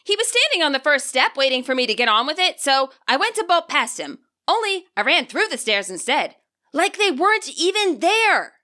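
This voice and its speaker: A woman voicing an overeager, chatty teenage girl who is student council president, lifting her voice high and lively. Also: moderate pace, exaggerated voice.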